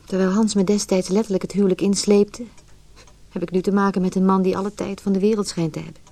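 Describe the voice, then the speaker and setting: Actress as a mellow-voiced, resolute, unhappy wife. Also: female voice, medium pitch, flat delivery, quick pace, close to an everyday voice. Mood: wistful, uneasy. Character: resolute, unhappy wife leaving her marriage. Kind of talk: radio drama acting, dialogue and reflective monologue.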